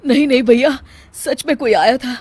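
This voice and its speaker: Woman playing a high-pitched, earnest young husband. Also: normal speed, a little mellow, husky, no room sound. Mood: shaken.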